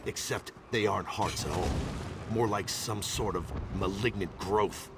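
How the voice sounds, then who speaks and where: A grim orc warrior for a fantasy game. The voice sits low and speaks in a measured, skeptical, proud manner. Heavy battle noise roars behind it. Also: male voice. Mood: disgusted, uneasy. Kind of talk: video game character dialogue. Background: battle ambience with artillery.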